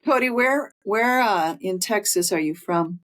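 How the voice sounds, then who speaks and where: A woman's voice: medium pitch, expressive, unhurried, a bit bright. A woman co-hosting a podcast, chatting casually, wandering off on tangents and laughing often. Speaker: podcast co-host, hospice nurse. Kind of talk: casual interview chat.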